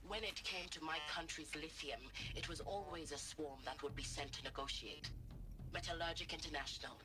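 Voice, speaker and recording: An actress playing a proud, battle-scarred captain in a video game, bitter and defiant, with formal, measured speech. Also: female voice, low voice, lightly acted. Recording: game audio with clear background noise.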